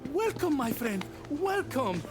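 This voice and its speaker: Gushy italian restaurant owner, slow talking, big swoopy pitch, game drama. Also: male voice, big pitch swings, over music, full character voice. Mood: welcoming, eager. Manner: gushing, eager to please. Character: effusive, deferential Italian male restaurant owner. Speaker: game character actor. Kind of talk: cinematic crime drama acting.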